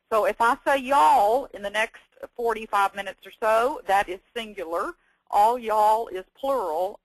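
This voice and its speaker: A woman's voice: mid-range voice, no room sound, poor audio. Folksy older Texan woman presenting. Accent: Texas Southern. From Texas. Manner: folksy, chatty, self-deprecating humor. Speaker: webinar presenter, former teacher. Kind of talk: educational webinar talk.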